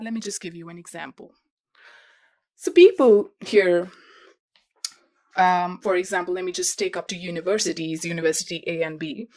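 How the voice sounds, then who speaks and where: A young woman hosting a podcast who explains things step by step in a repetitive way and talks straight to her listeners. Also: fairly low pitch. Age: young adult. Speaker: podcast host. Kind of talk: advice talk.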